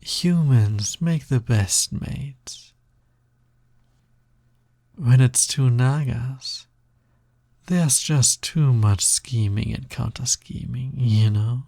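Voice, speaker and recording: A man playing a sly, smug, possessive naga roommate in an intimate audio roleplay, with a breathy, gravelly voice and a teasing drawl that often drops toward a whisper. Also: medium pitch, very slow, pauses often, warm tone, dry recording, full character voice. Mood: smug, flirtatious. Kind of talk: intimate scripted audio roleplay, close ASMR-like delivery.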